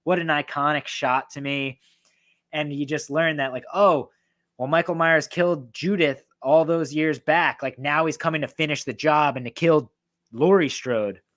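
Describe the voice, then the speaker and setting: A man with a voice going hoarse, excitedly and profanely ranking horror films in a rambling, casual way. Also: on the high side, normal speed. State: losing his voice, hoarse. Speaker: horror film reviewer. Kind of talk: casual film ranking talk.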